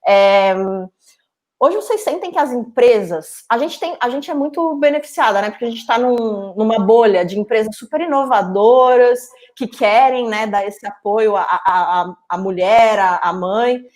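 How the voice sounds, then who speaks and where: Woman hosting a webinar, rather high. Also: normal speed, darkish tone. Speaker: webinar host. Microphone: video call.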